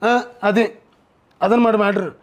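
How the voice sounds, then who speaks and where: A male mimicry artist answering interview questions in a halting way. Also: high-pitched, fairly even intonation. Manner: halting, repetitive restarts.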